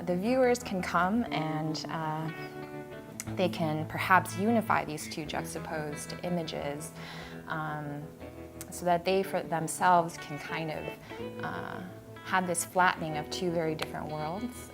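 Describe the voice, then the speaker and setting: Low, gravelly woman with hesitant ums. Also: slow pace, music in the background. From United States. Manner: hesitant, many ums and uhs, reflective. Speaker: artist. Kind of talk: artist talk at an exhibition. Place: art gallery.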